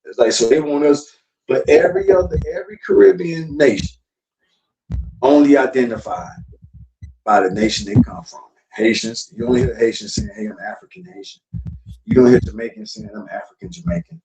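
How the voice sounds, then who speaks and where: A man's voice: on the high side, big pitch swings, normal speed, creaky. A man from South Florida hosting a casual talk show, lecturing loosely and swearing freely. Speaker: podcast host. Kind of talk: casual chat. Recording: distant mic with faint noise.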